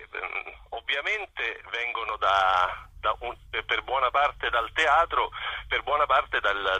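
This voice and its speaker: Low, husky man with a sharp bright edge. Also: no echo, low-quality recording. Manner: halting, reflective, searching for words. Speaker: interview guest, singer-songwriter and performer. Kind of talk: casual spoken interview.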